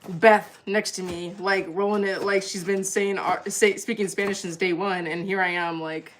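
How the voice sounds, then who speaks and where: A woman's voice: medium pitch, fairly even intonation, normal speed, a little hiss or hum. A young woman hosting a livestream, chatting casually and giggling while she cooks and answers viewer comments. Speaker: livestream cooking host.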